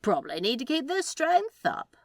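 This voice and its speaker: A woman voicing a laid-back male demon in a story reading, reasoning aloud slowly, casually and sceptically. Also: high voice, huge pitch swings, no echo, studio quality, pitched above the performer's own voice, exaggerated voice.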